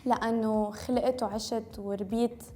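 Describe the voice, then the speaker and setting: A woman's voice: on the high side, steady tone, normal speed, dark tone. A young woman from Lebanon whose first language was French, speaking in a Tripoli Lebanese accent with Syrian touches. She chats casually to camera and drops English words into her talk. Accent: Tripoli Lebanese Arabic with Syrian Homs touches. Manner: mixes English words into Arabic, chatty and self-correcting. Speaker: beauty vlogger.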